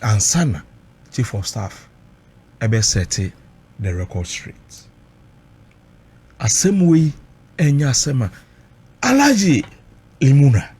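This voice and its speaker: A man's voice: medium pitch, very animated, takes it slowly, long pauses, a little mellow, breathy edge. A Ghanaian man recounting personal experience emotionally, mixing Twi and English, emphatic and dramatic, repeating phrases for weight.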